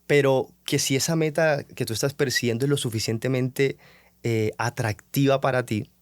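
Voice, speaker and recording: A man's voice: medium pitch, moderate pace, no room sound. A young man, hosting a motivational podcast and telling his own story, acting out the questions he once asked himself. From Colombia. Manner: animated, acts out his own past self-questioning. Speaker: podcast host.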